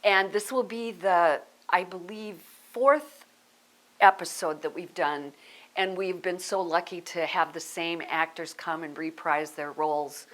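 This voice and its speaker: A woman's voice: sits low, a bit bright. A woman speaking informally in an interview as the artistic director of a theatre group.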